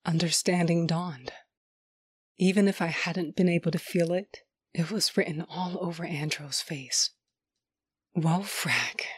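A woman narrating an audio drama in first person as a tough, wry smuggler, soft when tender. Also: on the low side, measured pace, slightly rough, no room sound.

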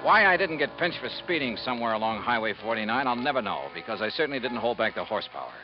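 An actor playing a brisk, wily insurance investigator in a 1950s radio drama, punchy and wry. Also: male voice, medium pitch, big pitch swings, over music, close to an everyday voice. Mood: wry, narrating. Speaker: lead of a detective radio serial. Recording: muffled, noisy 1950s radio recording.

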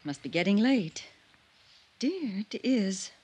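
An actress playing a gentle, delicate middle-aged schoolteacher in mourning, hesitant and wistful, in a 1950s radio drama. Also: female voice, mid-range voice, sing-song, takes it slowly, a little hiss or hum.

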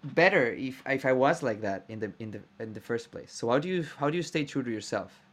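A man hosting a podcast, chatting casually and warmly echoing his guest's words back. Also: mid-range voice, expressive, fairly quick. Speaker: podcast host. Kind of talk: casual interview chat.

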